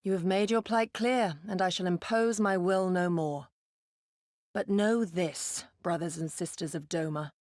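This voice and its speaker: An actress playing a proud, resolute retainer of a lord, speaking formally and earnestly in a video game cutscene. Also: female voice, on the low side, fairly even intonation, a little slow, darkish tone, no echo, studio quality, natural voice. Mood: solemn, resolute. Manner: formal, archaic, earnest diction.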